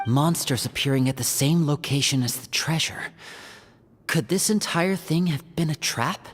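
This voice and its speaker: A woman playing a cool, vigilant female fighter in a fantasy video game, composed and measured. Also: sits low, lightly acted. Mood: wary. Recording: long reverb, faint music behind.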